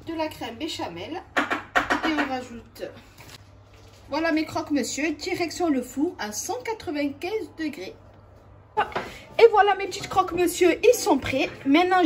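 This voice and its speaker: A woman's voice: sits high, animated, unhurried, slight background noise. A woman hosting a home cooking video, chatting step by step and talking straight to her viewers. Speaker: cooking channel host. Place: home kitchen.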